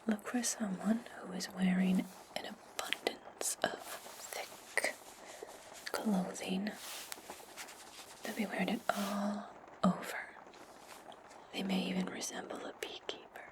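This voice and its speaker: Sly, commanding witch played by a woman for ASMR fantasy roleplay: whispered, even-toned, slow and deliberate instructions to her servant. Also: medium pitch, close to an everyday voice, low-quality recording. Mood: hushed, instructive.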